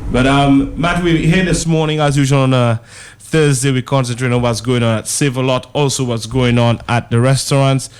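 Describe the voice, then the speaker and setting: A man, a supermarket guest on a radio talk show, chatting in a relaxed, loose way. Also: medium pitch, normal speed. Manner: relaxed, chatty, says "man" a lot, light laughs. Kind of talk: casual radio chat.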